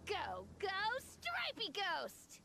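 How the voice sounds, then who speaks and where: A woman's voice: very high voice, huge pitch swings, drawn-out words, gravelly, sharp tone, a little hiss or hum, exaggerated voice. A woman voicing a cocky, gleeful little child who taunts rivals in a video game.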